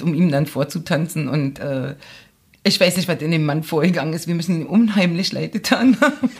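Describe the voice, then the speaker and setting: A woman's voice: deep voice, a little mellow, husky. A middle-aged woman from East Germany, a podcast guest telling chatty anecdotes about her past and laughing often. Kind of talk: casual chat. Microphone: studio microphone, audio-only podcast.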